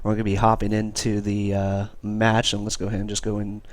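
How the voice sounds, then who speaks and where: A man's voice: on the low side, monotone, a little fast, no room sound. A man with a cold, hosting a live game stream and chatting casually with many fillers. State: has a cold. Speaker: esports caster and stream host.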